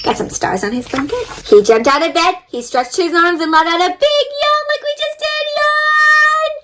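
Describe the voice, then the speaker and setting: A high-pitched female voice leads an interactive story time for children. She is expressive and encouraging, speaking directly to young viewers with questions and step-by-step prompts. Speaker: read-aloud host for children. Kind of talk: interactive children's story read-aloud with craft instructions.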